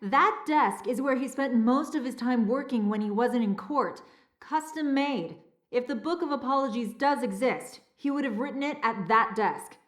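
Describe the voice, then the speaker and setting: Woman playing a high-voiced, earnest castle historian. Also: normal speed, reverberant, close to an everyday voice. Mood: informative. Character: nervous, scholarly female castle historian, a doctor. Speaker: historian and relic keeper. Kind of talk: video game dialogue, expository.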